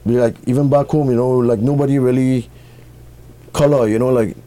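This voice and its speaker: Mellow Trinidadian man chatting casually. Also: mid-range voice, fairly even intonation, fairly quick. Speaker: interview guest, record shop owner. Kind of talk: casual conversational storytelling.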